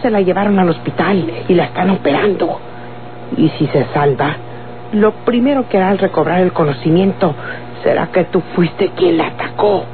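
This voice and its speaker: A woman's voice: fairly low pitch, normal speed, crowd noise, lightly acted. A woman playing a scheming, domineering young Mexican villainess in a radionovela, scolding and commanding with dramatic delivery. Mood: scolding, furious. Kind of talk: Mexican golden-age radionovela acting. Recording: tinny old radio with clear hum.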